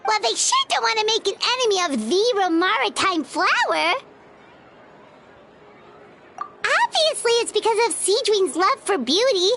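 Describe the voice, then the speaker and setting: A woman voicing a small, childlike, excitable fairy companion in a video game, squeaky and given to exclamations. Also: a little slow, long pauses, noisy background, full character voice. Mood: curious, impressed. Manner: refers to self in third person, exclamatory. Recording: music behind the voice.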